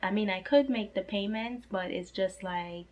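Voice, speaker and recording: A woman in her twenties with a slightly hoarse voice, talking earnestly and casually to camera about personal finance, leaning on fillers like "like" and "you know". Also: mid-range voice, moderate pace, a little mellow. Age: 20s.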